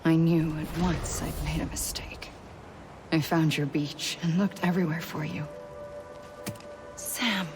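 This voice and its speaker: A grave, haunted founder in a video game cutscene. Her voice is low and soft, and she often drops close to a whisper. A loud orchestral score plays clearly under her words. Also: fairly even intonation, unhurried, pauses often. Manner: quiet, intimate, often near a whisper. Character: grave woman who founded a network, haunted. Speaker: game character. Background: orchestral game music.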